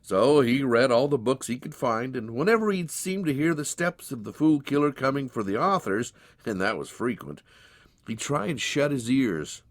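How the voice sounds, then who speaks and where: A man narrates a storybook tale in a folksy way. His pitch moves up and down with lively expression. The sound is dry, with very little room in it. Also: moderate pace, no room sound.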